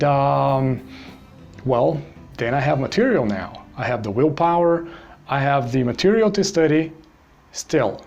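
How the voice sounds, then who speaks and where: A man in his forties from Brazil talks in English with a Brazilian Portuguese accent, in a mid-pitched voice. He tells his story in a loose, relaxed way, with frequent "you know"s, like a teacher chatting with students. Soft music plays faintly behind him. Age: 40s. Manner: relaxed, many fillers like "you know" and "you see". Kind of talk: personal story to camera.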